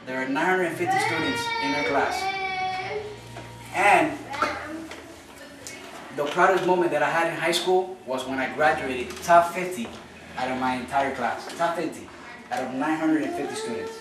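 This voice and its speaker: Young guy from cuba doing a class speech, earnest, kinda loose, recorded far off in a noisy echoey classroom. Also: on the high side. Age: young adult. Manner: earnest, informal, with repeats and restarts. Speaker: college student giving a class speech. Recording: echoey room from far off with noise.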